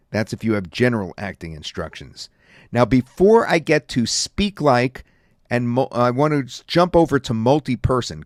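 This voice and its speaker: A man reviewing and demonstrating software in a casual, rambling way with many fillers. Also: on the low side, no room sound, clean studio sound. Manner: casual, filler-heavy talk. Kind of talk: screen-recorded software demo.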